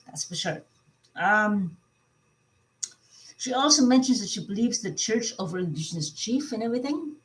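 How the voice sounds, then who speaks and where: A woman giving informal opinion commentary as a vlog host, speaking in a halting but emphatic way. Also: mid-range voice, rough recording.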